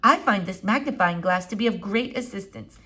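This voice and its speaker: A woman voicing an elderly foreign doctor of philosophy from a children's book, gentle and rambling, with formal, quaint phrasing. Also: medium pitch, expressive, moderate pace. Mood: musing. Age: older. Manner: formal, quaint syntax, digressive, soothing. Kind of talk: children's book read-aloud.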